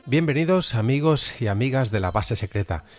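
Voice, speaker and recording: A man with a Spain Spanish accent presenting a podcast in an explanatory, measured way. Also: mid-range voice, animated. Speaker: podcast host. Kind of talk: podcast presentation, semi-scripted. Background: music.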